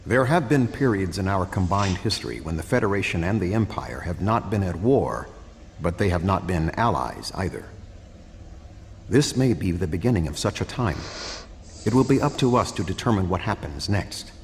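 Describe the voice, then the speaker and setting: An actor playing a grave, logical alien statesman in a video game, speaking in a measured, formal way. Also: low voice, lively intonation. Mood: measured hope. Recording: reverberant game audio, faint background hum.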